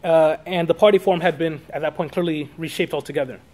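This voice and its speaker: A man in his thirties giving an academic lecture from notes, halting at times and correcting himself as he goes. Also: fairly high pitch, steady tone, a little mellow. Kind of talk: academic talk read from notes with spoken asides. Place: small classroom or meeting room, indoors.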